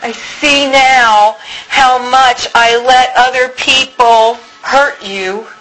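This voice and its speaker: Slow, bright-voiced woman pleading softly. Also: fairly high pitch, fairly even intonation, faint music behind, rough recording. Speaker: speaker leading a healing apology exercise. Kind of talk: slow spoken apology prayer in a mother's voice.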